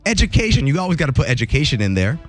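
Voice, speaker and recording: A man hosting a morning radio show, telling entertainment news in a jokey, animated way. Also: rapid-fire, soft background music. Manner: animated, jokey, voice jumps high for emphasis.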